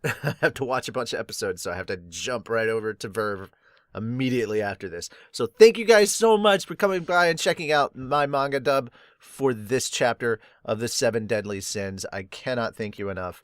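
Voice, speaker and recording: A man's voice: mid-range voice, big pitch swings, normal speed, fairly bright tone, dry recording, studio quality. A young man hosting a podcast, chatting casually and rambling through a manga review, with many uh's and restarts. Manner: rambling, filler-heavy, chatty. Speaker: podcast host.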